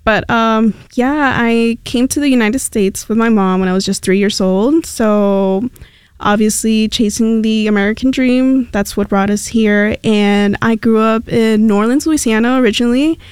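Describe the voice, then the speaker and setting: A woman's voice: a little high, normal speed, darkish tone, smooth, dry recording. A young woman from Mexico whose first language is Spanish, a radio station intern chatting casually as a guest in a studio, rambling along with plenty of fillers.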